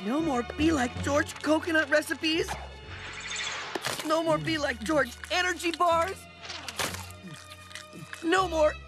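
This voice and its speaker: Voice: high-pitched, slow, pauses often, over music. An actor voicing a dim-witted, friendly, eager muscular jungle man in a cartoon, goofy and boastful. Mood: dejected. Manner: goofy, boastful, third-person simpleton delivery. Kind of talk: animated cartoon acting.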